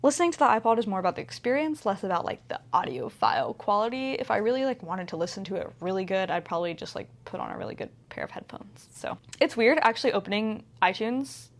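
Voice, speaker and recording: A young woman of twenty chatting casually to her viewers at a middling pitch. She rambles loosely, filling her sentences with "like" and "um". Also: sing-song, fast, few pauses. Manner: rambling, filler-heavy, lots of "like". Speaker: vlogger.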